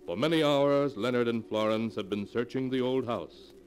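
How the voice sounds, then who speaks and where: A man's voice: medium pitch, normal speed, natural voice. A man acting as an ominous storyteller, narrating 1940s radio horror in a dramatic, portentous manner. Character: ominous storyteller reading from a sealed book. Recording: noisy 1940s radio recording.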